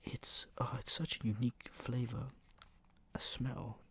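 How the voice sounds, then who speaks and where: A man speaking in a hushed murmur that slips into whispering, with a sore throat, casually showing items for ASMR. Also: mid-range voice, flat delivery, measured pace, husky. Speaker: ASMR video creator. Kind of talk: ASMR, soft casual product show-and-tell.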